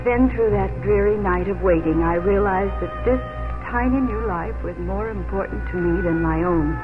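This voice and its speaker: A woman's voice: medium pitch, normal speed, natural voice. An actress playing an emotional, tender adoptive mother in a 1950s radio drama, earnest and soothing. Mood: grave, reflective. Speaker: lead actress and narrator. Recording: old radio broadcast, narrow band, music behind.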